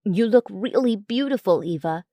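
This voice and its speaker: A woman voicing a gentle, devoted young male alpha werewolf in a tender, reassuring way for a story. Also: mid-range voice, animated, normal speed, no echo, lightly acted.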